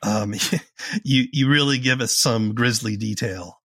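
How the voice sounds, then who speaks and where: A man's voice: medium pitch, measured pace, a bit bright, no echo, studio quality. A man hosting a podcast, asking questions in a conversational interview style.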